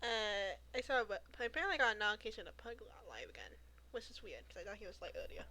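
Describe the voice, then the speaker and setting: Bright, animated high-voiced woman gamer. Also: quick pace. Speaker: gamer on a livestream. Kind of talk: casual gaming chat.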